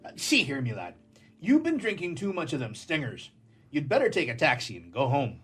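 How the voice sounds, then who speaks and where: Man playing a raspy, animated beat cop. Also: on the high side, fairly quick, soft background music, full character voice. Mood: scolding. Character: gruff Irish beat policeman, scolding but kindly. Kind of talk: live radio theatre comedy.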